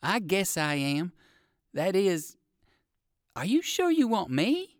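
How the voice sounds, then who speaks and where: A man voicing a timid, polite old gentleman rabbit in a children's story, hesitant and plaintive. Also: high-pitched, huge pitch swings, slow, no echo, clean studio sound, pitched above the performer's own voice, exaggerated voice. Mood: puzzled, hesitant.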